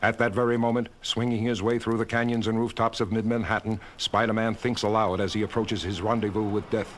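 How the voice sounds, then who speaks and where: A man playing a formal, authoritative announcer, measured and dramatic in an old audio drama. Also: lowish voice, flat delivery, over music, close to an everyday voice. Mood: suspenseful. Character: authoritative, solemn male announcer. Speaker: narrator and announcer. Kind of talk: 1970s audio drama narration.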